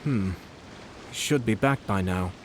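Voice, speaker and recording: An actor as a composed, low-voiced young lord. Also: male voice, noisy background, lightly acted. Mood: calm, analytical. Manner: measured, formal, analytical. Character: composed, shrewd young male clan lord, calculating and courteous. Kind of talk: scripted video game character dialogue.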